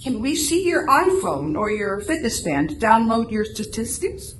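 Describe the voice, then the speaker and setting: A woman playing a businesslike clinic nurse in a radio play, with a brisk, procedural manner. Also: a little high, very animated. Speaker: amateur radio drama actor. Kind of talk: live radio play reading. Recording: echoey room, faint background noise.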